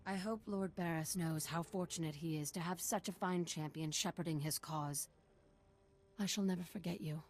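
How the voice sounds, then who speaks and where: A woman's voice: on the low side, moderate pace, lightly acted. An actress voicing a respectful, admiring female desert explorer in a video game, speaking earnestly and formally. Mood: respectful gratitude. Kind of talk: scripted video game acting.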